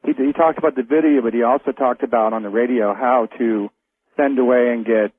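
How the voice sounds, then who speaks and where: A man's voice: medium pitch, fairly even intonation, fairly quick, breathy edge. An American man calling in to a radio show, casually putting questions to the host. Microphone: telephone. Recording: muffled narrow phone line on radio.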